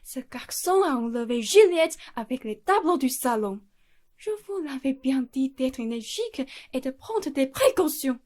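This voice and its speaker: A woman's voice: high voice, big pitch swings, measured pace, a little mellow, heavily acted. A woman playing a wealthy, bossy, theatrical older society lady in a radio play. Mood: exasperated.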